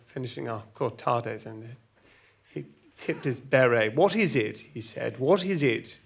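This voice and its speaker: A man playing a flustered, exasperated storyteller, halting and repeating himself through a comic monologue full of petty grievances. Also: medium pitch, creaky, sharp tone, full character voice. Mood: defensive. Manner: halting, repetitive, stumbling over words. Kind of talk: rambling semi-improvised comic monologue with acted argument quotes.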